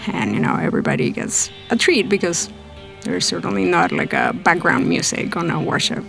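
Low-pitched, slightly creaky woman storyteller. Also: wildly swooping pitch, normal speed, fairly bright tone, faint music behind. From Lima, Peru. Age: late 30s. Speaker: storytelling guest, navy officer and psychiatrist. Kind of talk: personal storytelling.

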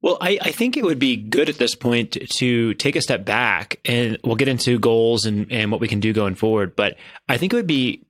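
A man hosting a financial interview, asking measured guiding questions. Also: mid-range voice, lively intonation, a bit bright. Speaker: financial advice host.

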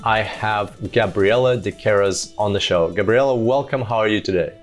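A man's voice: on the low side, moderate pace, faint music behind. A man hosting a podcast, introducing and interviewing a guest in an enthusiastic, chatty way. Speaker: podcast host. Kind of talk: upbeat interview chat.

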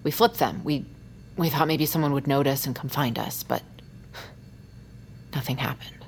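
A woman playing a hesitant young survivor in an audio drama, speaking haltingly and informally. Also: rumbling low, a little hiss or hum. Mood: uneasy. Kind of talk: naturalistic audio drama acting.

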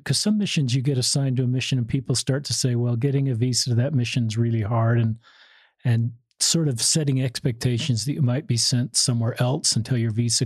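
A man's voice: mid-range voice, darkish tone, husky, no room sound. A man hosting a podcast, asking questions in a conversational interview style.

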